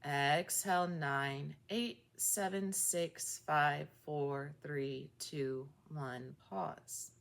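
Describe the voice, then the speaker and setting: Female meditation guide, unhurried and calm, deep voice with vocal fry. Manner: slow, calm counting of breaths. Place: meeting room of a recovery group.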